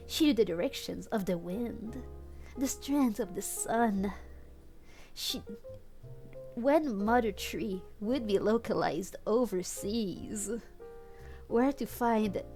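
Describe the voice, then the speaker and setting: A woman's voice: medium pitch, a little mellow. A woman streamer reading fan fiction aloud in a casual, comedic way, easily cracked up and commenting with amusement as she goes. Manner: giggly, easily cracked up, acts out dialogue.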